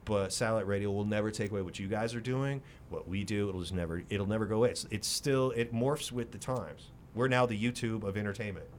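A man's voice: fast talker, few pauses. A middle-aged American man, a radio host, talking candidly and emphatically in an interview and repeating short phrases for punch. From raised in Singapore, later moved to the United States. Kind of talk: candid, conversational interview talk with storytelling. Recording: rumbly noise behind the voice.

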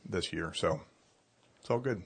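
A middle-aged man, a pastor, chatting casually in a hoarse voice and telling stories in an animated way. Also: low-pitched, unhurried. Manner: chatty, animated storytelling with laughs. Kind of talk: casual chat.

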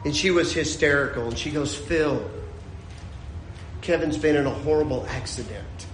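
Male pastor, sermon, fairly high voice, echoey hall, faint background hum. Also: normal speed. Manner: dynamic preaching, shouting peaks then dropping to a hushed storytelling tone.

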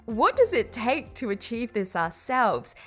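Upbeat woman podcast host with a slightly high voice over faint music. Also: big pitch swings, a little slow, fairly bright tone. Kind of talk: scripted podcast intro then interview.

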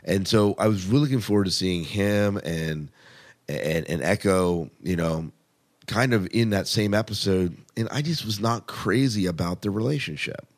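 Man, low-pitched voice, casual podcast co-host, enthusiastic review chat, repeats phrases for emphasis. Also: normal speed.

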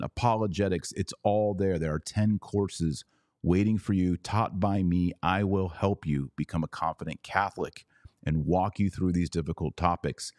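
A man with a very low voice hosts a casual podcast. He talks in a sarcastic, animated way. The sound is dry and close, with no room in it. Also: no echo. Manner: animated, sarcastic, jumps to high incredulous exclamations; slow deliberate Latin prayer recitation. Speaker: podcast host.

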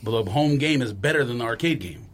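Guy arguing on a podcast, talks fast, lively pitch, blunt n stubborn, bit of rumble. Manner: blunt, argumentative, insistent. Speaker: podcast co-host. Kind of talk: casual debate among friends.

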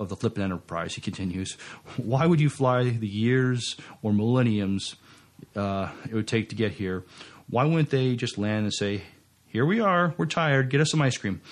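A man co-hosting a podcast, reading out entertainment news and chatting, with a halting, stumbling delivery. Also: mid-range voice. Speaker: podcast co-host.

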